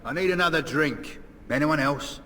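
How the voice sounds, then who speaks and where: An actor playing a gruff, bullying male human guard in a fantasy video game, barking orders. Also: on the high side, normal speed, pushed and bright. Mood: surly, bullying. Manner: gruff, commanding. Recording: echoing hall with faint ambient noise.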